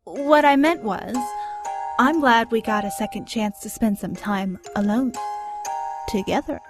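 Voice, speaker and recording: A woman plays a lonely dwarf maiden in a comic cartoon. She speaks in a fairly high, coy, flirtatious way, with prominent music behind her. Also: unhurried, natural voice. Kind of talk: animated comic fandub acting.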